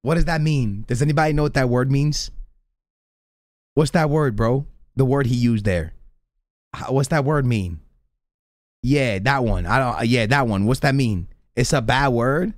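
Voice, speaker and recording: A man's voice: medium pitch, measured pace, long pauses, no echo, clean studio sound. A man in his thirties chatting casually and in slang to his live stream chat while reacting to clips. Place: small indoor streaming room. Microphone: close studio mic on boom arm.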